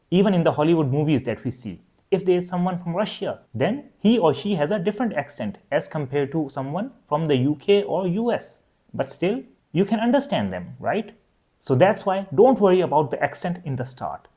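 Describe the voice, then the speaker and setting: Man, native Urdu speaker, young adult, online language teacher, clear steady explaining, slightly high voice, very lively intonation. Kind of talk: scripted online lesson.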